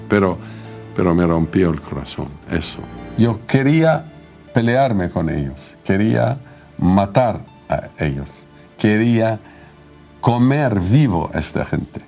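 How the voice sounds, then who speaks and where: A man who is not a native Spanish speaker, giving an emotional interview recollection in halting, broken phrases. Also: sits low, lively intonation, creaky, darkish tone, faint music behind. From Lebanon.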